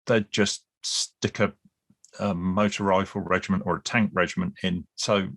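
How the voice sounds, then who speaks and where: A man with a fairly low voice lays out his points at length in a relaxed podcast discussion. He restarts his phrases often and slips in dry humour. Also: moderate pace, creaky. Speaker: guest expert on Cold War British Army.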